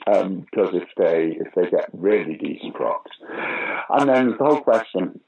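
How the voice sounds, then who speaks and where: A man's voice: deep voice, normal speed, creaky, breathy edge, dull audio. An older British man, a pantomime dame actor, telling stage stories in a casual interview. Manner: storytelling, animated anecdotes.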